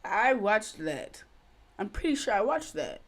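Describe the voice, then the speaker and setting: A woman's voice: mid-range voice, very animated, unhurried. A woman hosting a reaction video who chats casually to her viewers. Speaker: reaction channel host.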